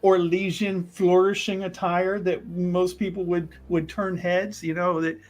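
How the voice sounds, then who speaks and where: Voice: medium pitch, flat delivery, unhurried. A tabletop game player chatting casually and improvising roleplay, emphatic and given to repeating phrases.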